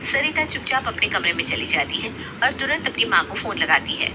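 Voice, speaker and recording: A woman's voice: mid-range voice, very animated, fairly quick, background noise. A woman storyteller reading a scripted moral story narration.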